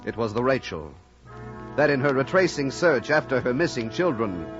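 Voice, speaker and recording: An actor playing a solemn sailor who survived a whaling tragedy, narrating gravely and dramatically in a 1940s radio drama. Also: male voice, mid-range voice, big pitch swings, normal speed, a bit bright. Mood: somber, elegiac. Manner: grave, dramatic, incantatory repetition. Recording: old muffled radio recording, music behind.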